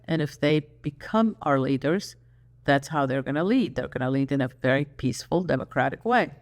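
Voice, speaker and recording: An older speaker from Iraq, an Arabic speaker hosting a solo channel monologue, earnest and reflective with pauses. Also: medium pitch. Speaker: YouTube channel host.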